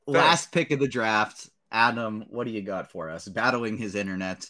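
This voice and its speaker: A man's voice: on the low side, measured pace, sharp tone. A man hosting and moderating a podcast draft in casual, bantering chat.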